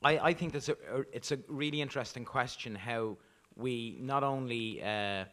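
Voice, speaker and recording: A man's voice: mid-range voice, normal speed, fairly bright tone. An older man answering on a conference panel into a handheld mic, earnest, with many fillers. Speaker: community media committee member. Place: conference function room.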